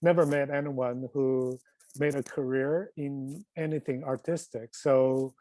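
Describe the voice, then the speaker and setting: A man from China with a Chinese accent, a filmmaker reflecting casually and earnestly on a panel, in a halting way. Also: medium pitch, fairly even intonation, dark tone, dry recording, rough recording.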